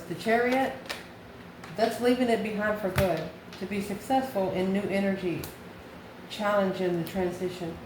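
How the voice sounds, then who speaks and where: Woman reading tarot in an echoey room. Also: mid-range voice. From United States. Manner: musing aloud, asks and answers own questions. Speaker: tarot card reader. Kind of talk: casual tarot reading, spoken off the cuff. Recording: echoey room, clear background noise.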